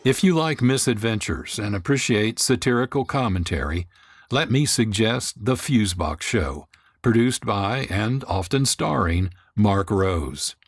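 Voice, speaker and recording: A man's voice: fairly low pitch, lively intonation, measured pace, no echo, studio quality. A man hosting a radio programme with scripted narration. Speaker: host.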